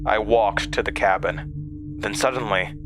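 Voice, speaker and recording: An actor playing a haunted man recounting a terrifying memory in a horror audio drama, halting and hesitant. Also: fairly low pitch, over music, close to an everyday voice. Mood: haunted, tense. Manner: halting, hesitant, with stammered restarts.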